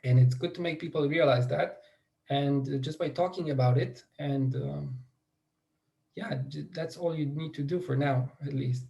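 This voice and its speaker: A young man speaks in a somewhat high, mellow voice. He answers interview questions earnestly and thoughtfully, pausing on fillers and restarting his sentences. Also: steady tone, gravelly. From Romania. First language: Romanian. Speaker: guest.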